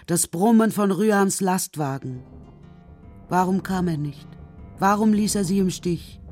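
A woman narrating a children's radio play in a measured, dramatic storytelling manner. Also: fairly low pitch, a little mellow, faint music behind. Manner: measured, dramatic literary reading.

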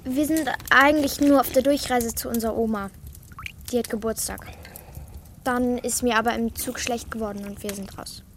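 A woman plays a blunt, bold girl in a children's radio drama. Her voice is very high and her talk is slangy, with grief under it. Faint background ambience sits behind her. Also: fairly even intonation, moderate pace, a little hiss or hum, close to an everyday voice. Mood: breezy. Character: Martha, blunt, bold, grieving young girl. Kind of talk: children's radio play acting.

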